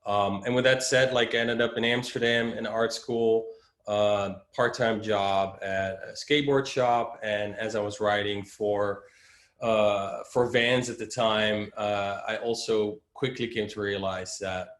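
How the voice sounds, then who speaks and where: Man, fairly low voice, rambling with fillers, roomy video-call sound. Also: steady tone, a little slow, a bit bright. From Netherlands. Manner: rambling, many fillers and restarts. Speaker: creative director. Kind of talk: casual interview storytelling.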